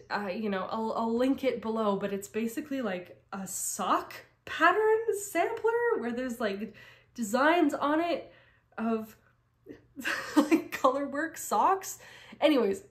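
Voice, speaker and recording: A young woman from Canada, recovering from a cold and losing her voice, chatting casually about crafts, rambling with frequent laughs and restarts. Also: medium pitch. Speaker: crafting vlogger. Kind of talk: casual chat to camera.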